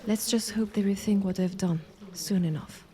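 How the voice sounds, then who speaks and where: A composed female monster voiced by a woman, the stern younger sister in a fantasy audio roleplay. Her mid-pitched voice is mellow and unforced. She speaks in measured, formal phrases with solemn restraint. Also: fairly even intonation, natural voice. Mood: solemn appeal. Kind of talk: dramatic audio roleplay acting.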